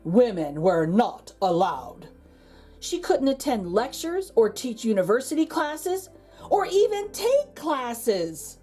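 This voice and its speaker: A woman reading a children's picture book aloud as a storytime host, theatrically, with dramatic pauses and emphasis. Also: fairly high pitch, sing-song, mellow, breathy edge, faint music behind.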